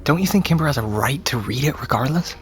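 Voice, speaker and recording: An actor playing a stubborn, anxious teenage son in an audio drama, speaking in a terse, dry, questioning way. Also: male voice, medium pitch, lively intonation, fast, soft background music, natural voice. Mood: frustrated, upset. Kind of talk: naturalistic audio drama acting.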